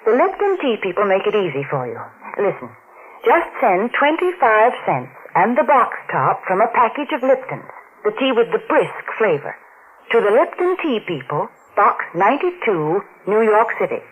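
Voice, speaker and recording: A woman working as a sponsor's announcer, giving a folksy, persuasive 1940s radio commercial pitch. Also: sing-song, moderate pace. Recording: muffled, noisy 1940s radio broadcast.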